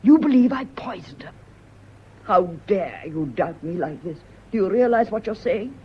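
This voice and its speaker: A woman's voice: mid-range voice, lively intonation, normal speed, close to an everyday voice. An actress with a British accent playing an anxious, wronged lady's companion in a radio drama, agitated and pleading. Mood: wounded, indignant. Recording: dull lo-fi radio recording, faint noise.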